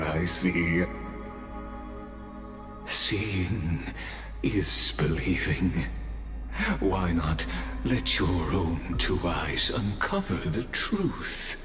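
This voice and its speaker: A man playing an enigmatic, courteous game guide who speaks in a measured, grandiose, theatrical way. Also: deep voice, exaggerated voice. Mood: sly, inviting. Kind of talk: slow theatrical game narration. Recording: echoey voice over music.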